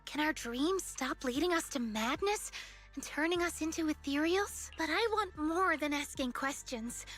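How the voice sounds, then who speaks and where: A woman's voice: high voice, expressive, normal speed, a bit bright. A woman playing an earnest, eager young researcher with big dreams in a video game. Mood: hopeful, earnest. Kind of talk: English video game voice acting. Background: game music.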